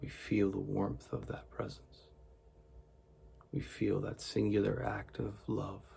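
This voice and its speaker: A man leading a guided meditation in a gentle, soothing, deliberate way, with a gravelly, husky voice. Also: lowish voice, flat delivery, a little hiss or hum.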